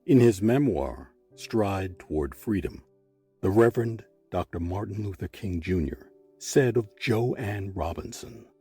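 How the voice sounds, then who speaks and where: A man's voice: on the low side, animated, a little slow, warm tone, husky, soft background music. A man giving a scripted, dramatic narration.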